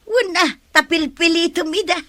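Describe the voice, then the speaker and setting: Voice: extremely high pitch, moderate pace, sharp tone, no room sound. An actor playing a teasing, sceptical village friend in a radio comedy, with an Ilocano accent. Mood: needling. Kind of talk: Filipino radio comedy drama acting.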